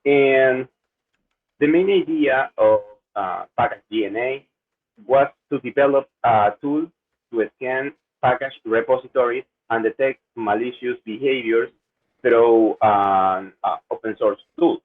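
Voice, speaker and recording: A man's voice: mid-range voice, a little slow. Ecuadorian man presenting over tinny audio. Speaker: security researcher. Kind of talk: conference presentation. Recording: narrow phone-like audio.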